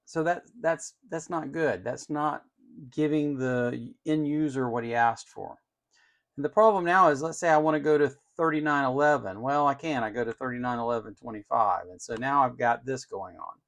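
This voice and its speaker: A man's voice: mid-range voice, moderate pace, gravelly, a bit bright. A man hosting an unscripted tech demonstration, explaining step by step in an opinionated way with emphasis on key words. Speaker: host of an electronics channel.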